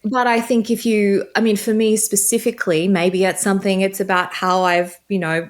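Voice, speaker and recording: A woman from Australia whose accent has softened over the years, talking as an interview guest. She is upbeat and chatty, drops in plenty of "like" and laughs easily. Her pitch sits in the middle. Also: flat delivery, normal speed. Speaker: guest, tech creator. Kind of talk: casual interview chat.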